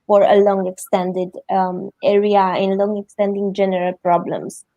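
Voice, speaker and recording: A middle-aged woman from Romania with a Romanian accent, answering interview questions haltingly as she searches for words in English. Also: mid-range voice, flat delivery, warm tone, no echo, dull audio. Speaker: interview guest.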